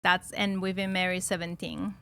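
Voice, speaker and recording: A woman's voice: fairly low pitch, little pitch movement, moderate pace, gravelly, a bit bright, no echo. Chatty Peruvian-accented woman storyteller. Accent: Peruvian Spanish. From Peru. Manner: chatty, laughs often, many fillers and restarts. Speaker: podcast guest, loan officer. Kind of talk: casual storytelling interview.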